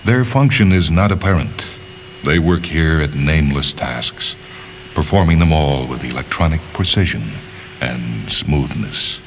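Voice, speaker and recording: Very deep-voiced man reading a story. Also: animated, unhurried, slight background noise. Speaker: story reader and narrator. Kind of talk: scripted narration of a literary short story.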